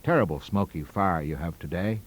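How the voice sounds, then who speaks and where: A man's voice: sits low, fairly quick, breathy edge. A man voicing a big, stolid, deferential ex-navy college porter in a ghost story.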